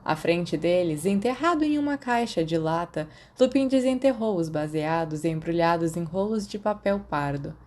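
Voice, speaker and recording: Mellow Brazilian woman narrating a story. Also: fairly low pitch, lively intonation, normal speed, hardly any pauses. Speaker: fan fiction audiobook narrator.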